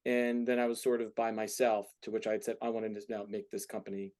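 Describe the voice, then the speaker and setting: A man's voice: lowish voice, flat delivery, fast talker, darkish tone. A man in his forties answering questions informally as a guest speaker in front of a class. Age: 40s. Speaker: guest speaker, entertainment business founder.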